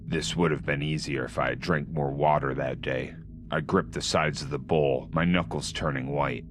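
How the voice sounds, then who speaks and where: An actor playing a grim, vengeful vigilante who narrates a video game in a hard-boiled, deadpan, menacing style. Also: male voice, extremely low pitch. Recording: music under the voice.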